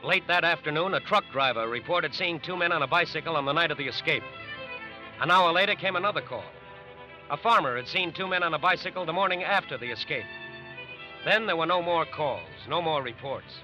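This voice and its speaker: An actor as a grave, dramatic American narrator of an old-time radio crime story, deliberate and emphatic to build suspense. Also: male voice, a little high, close to an everyday voice. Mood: suspenseful. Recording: muffled old radio recording with music.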